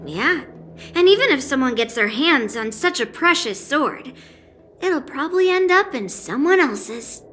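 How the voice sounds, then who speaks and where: Cocky young game swordswoman: high, forceful, blunt, sure of herself. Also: lively intonation, measured pace, soft background music. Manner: blunt, self-assured. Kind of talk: dubbed video game cutscene dialogue.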